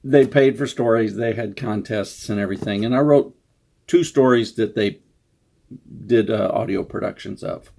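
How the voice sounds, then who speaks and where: A man's voice: fairly low pitch, moderate pace, creaky, a little mellow. A man giving a casual, unscripted introduction as an author, rambling and self-deprecating. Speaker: author introducing his story.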